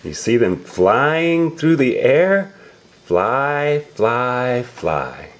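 A man reading a picture book aloud to young children, explaining it in simple, repeated, drawn-out words. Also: mid-range voice, big pitch swings. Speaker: storybook reader for young relatives.

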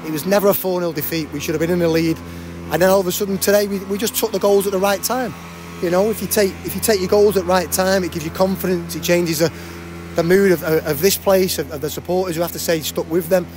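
A man in his forties, a football manager, giving a post-match interview at a stadium with a handheld mic. He talks fast and often restarts and repeats words. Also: high voice, steady tone, noisy background. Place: outdoors by a cafe banner at a stadium.

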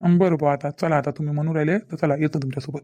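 A man's voice: on the high side, steady tone, rapid-fire, dark tone, lightly acted. A man playing a distrustful middle-aged village father-in-law in a cartoon comedy, nagging sceptically and repetitively with a Vidarbha Marathi accent. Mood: grumbling. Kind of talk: cartoon family comedy dialogue.

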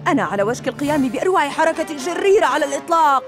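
A vain little ninja villain boy, voiced by a woman, who brags and gloats in a high, sneering voice. His lines sit over loud cartoon music. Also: animated, very fast. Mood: gloating. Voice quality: high, smug, mocking voice. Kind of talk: cartoon dub acting. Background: music score.

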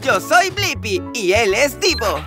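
Childlike boyish explorer, curious, excitable, high-pitched, unhurried, music behind, kids' educational cartoon. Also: female voice. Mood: cheerful, eager. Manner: exclamatory, wondering. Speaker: lead character of the show. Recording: music under the voice.

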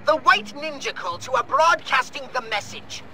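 A pompous alien commander, high and piercingly bright with swooping, animated delivery. He talks down to everyone with haughty theatrical flair. Faint game music plays underneath. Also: moderate pace, a little hiss or hum, exaggerated voice. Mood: impatient. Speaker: superior officer.

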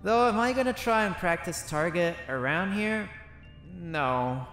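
A man voicing his character in a survival game roleplay, excitably thinking aloud to himself. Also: high-pitched, measured pace, soft background music.

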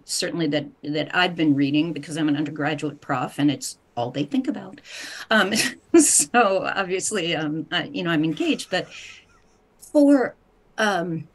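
A middle-aged woman, a professor making a deliberate, emphatic argument on a video call panel. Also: deep voice. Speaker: theology professor. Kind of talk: panel discussion, considered argument. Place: home room, video call.

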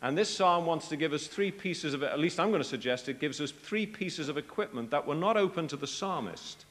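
A middle-aged man with a British accent preaching a sermon at a convention, animated, slipping into acted voices when he tells stories. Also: fairly high pitch, fairly quick, in a large hall. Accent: British English. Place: large convention tent.

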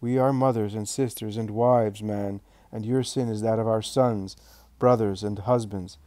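A man reading aloud, voicing a compassionate elderly woman who speaks softly. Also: on the low side, fairly even intonation, unhurried, no echo, natural voice. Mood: gentle.

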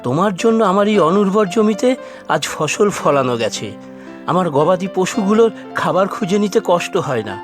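Man doing high, swooping cartoon villager. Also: lively intonation, brisk, music in the background. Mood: grateful. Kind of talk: Bengali cartoon voice acting.

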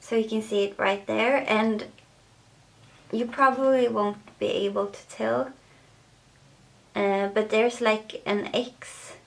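Gravelly-voiced Swedish woman vlogging. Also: a little high, fairly even intonation, slow, long pauses. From Sweden. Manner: hesitant, many fillers, soft laughs. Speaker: beauty vlogger. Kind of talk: casual makeup chat to camera. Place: bedroom, indoors.

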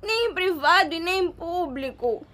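A despairing young woman in a radio drama. Her voice is high and plaintive, close to tears. She sounds wronged and beaten down. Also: expressive, normal speed, pushed and bright, heavily acted. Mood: hurt, pleading. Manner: tearful, plaintive. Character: despairing young woman, a wronged cousin who lost everything.

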